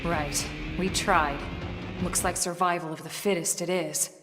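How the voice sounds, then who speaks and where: An actress playing a calm, diplomatic and resolute squad commander in a video game, with composed authority. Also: female voice, fairly low pitch, unhurried, close to an everyday voice. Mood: grimly resolute. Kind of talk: video game cutscene acting. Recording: loud music behind. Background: loud game soundtrack music.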